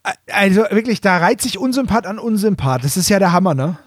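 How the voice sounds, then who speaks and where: A man's voice: high voice, lively intonation, fast talker, no echo, studio quality. A man co-hosting a casual comedy podcast, emphatic and mock-indignant, repeating himself for effect. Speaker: podcast co-host.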